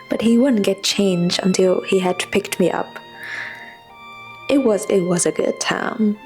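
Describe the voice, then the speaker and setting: Casting: woman, 20s, non-native English speaker, confessional scripted narration, medium pitch with some gravelly fry, faint music underneath. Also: darkish tone. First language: not English. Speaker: personal vlogger. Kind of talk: reflective scripted personal narration.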